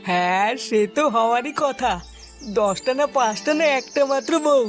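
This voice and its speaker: A woman plays a young cartoon lad with a high voice. He is an earnest, concerned friend, speaking in exclamatory comic dialogue over faint music. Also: lively intonation, normal speed, lightly acted.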